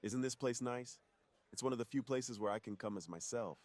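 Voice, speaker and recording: A man's voice: medium pitch, a little fast, a little mellow, no room sound, lightly acted. A man playing a weary, secretive superhero on a date, earnest and confiding, in an intimate ASMR roleplay. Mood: warm, open.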